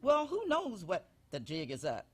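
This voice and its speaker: A man acts out an elderly mother in a stage radio comedy, pitched high. The figure is anxious and martyred, with a folksy way of laying on guilt. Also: wildly swooping pitch, normal speed, fairly bright tone, breathy edge, exaggerated voice. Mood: fretful. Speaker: stage performer in a benefit show. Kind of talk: live comedic radio-show acting.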